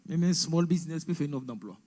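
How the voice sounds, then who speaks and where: A man's voice: fairly high pitch, expressive, quick pace, darkish tone. A man giving an information session, explaining step by step and mixing English words into French. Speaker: immigration presenter. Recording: echoey hall.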